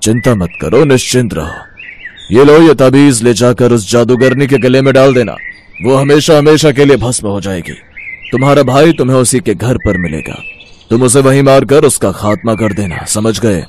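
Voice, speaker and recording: A man's voice: mid-range voice, lively intonation, darkish tone, slight background noise, lightly acted. A man voicing a solemn, authoritative forest holy man in a storybook tale. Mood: commanding. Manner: measured, authoritative.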